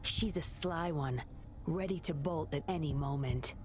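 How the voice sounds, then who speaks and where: A woman's voice: sits low, unhurried, a little mellow. An actress playing a cool, sly, commanding female operative in a video game, with a controlled, taunting, menacing manner. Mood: controlled menace.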